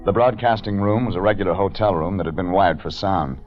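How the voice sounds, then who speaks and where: Muffled vintage-radio actor as deadpan American private eye. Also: male voice, deep voice, brisk, close to an everyday voice. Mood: deadpan narrating. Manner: deadpan, wry, clipped. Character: cool, terse hard-boiled male private investigator. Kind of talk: 1940s radio detective acting and first-person narration. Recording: dull vintage radio recording, music behind.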